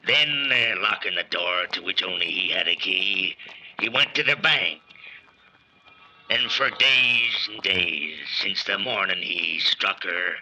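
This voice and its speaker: A man narrating a radio horror drama in a slow, hesitant way. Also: low-pitched, bright, forceful tone, low-quality recording.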